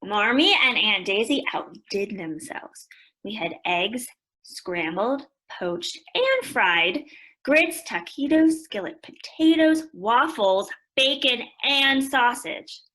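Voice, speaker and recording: A woman's voice: a little high, very animated, slow, bright, forceful tone, full character voice, rough recording. An actress playing a spirited young woman who narrates family life in a radio play. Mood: storytelling.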